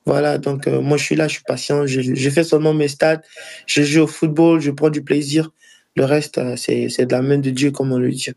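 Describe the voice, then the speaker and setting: Young Ivorian man, higher-pitched, creaky voice. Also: little pitch movement, darkish tone. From Ivory Coast. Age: early 20s. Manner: halting, repeats phrases for emphasis. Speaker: professional footballer being interviewed. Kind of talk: casual interview answers.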